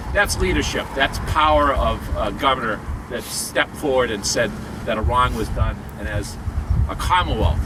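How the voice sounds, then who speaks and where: A man organizing an outdoor memorial ceremony, reading a formal proclamation aloud and later cheerfully directing people into a group photo. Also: medium pitch. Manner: lively and jovial while arranging people. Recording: loud outdoor noise, traffic.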